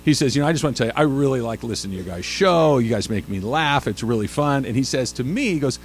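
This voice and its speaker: A man in his fifties co-hosting a sports radio show, telling stories in a lively, self-mocking way. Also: mid-range voice, quick pace, slight background noise. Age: 50s. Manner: animated storyteller, self-mocking. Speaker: radio host. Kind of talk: casual sports radio banter.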